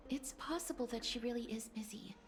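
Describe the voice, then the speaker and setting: A woman voicing a polite, gentle, earnest young guide in a video game. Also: a little high, fairly quick, soft background music, close to an everyday voice. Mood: earnest, conciliatory. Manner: courteous, earnest.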